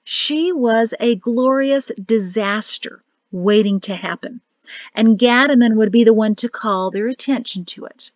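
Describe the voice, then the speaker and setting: A woman with a rough, crackling voice, nearly losing it, reading a novel aloud as narrator. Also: on the high side, unhurried, dry recording, muffled recording. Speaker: audiobook narrator.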